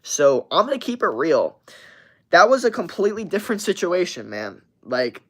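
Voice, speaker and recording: A man's voice: high-pitched, very animated, moderate pace. A man in his twenties, hosting a livestream, telling a story in a profane, emphatic and rambling way. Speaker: livestream host. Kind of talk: casual story time on a livestream.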